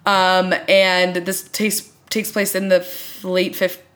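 A woman's voice: on the low side, flat delivery, slow, fairly bright tone. A woman co-hosting a podcast, chatting casually about television in an informal way, peppered with "like" and "you know". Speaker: podcast co-host. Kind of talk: casual TV review chat.

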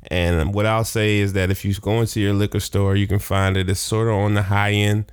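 A man co-hosting a podcast, talking quickly, teasing, laughing and cursing freely. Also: lowish voice, little pitch movement, clear, resonant tone, no echo, clean studio sound. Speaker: podcast co-host. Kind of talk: casual podcast banter.

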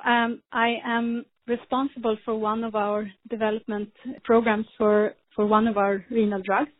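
Woman in her 40s, fairly high voice, talks steady w lots of ums, tinny phone sound. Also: no echo. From Sweden. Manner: measured, frequent ums and restarts. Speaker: pharma executive guest. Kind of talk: podcast interview.

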